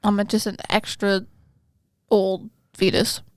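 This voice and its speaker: A woman co-hosting a podcast in casual chat, playful and quick to laugh, teasing her co-host. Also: mid-range voice, level tone, clear, resonant tone, no room sound, clean studio sound.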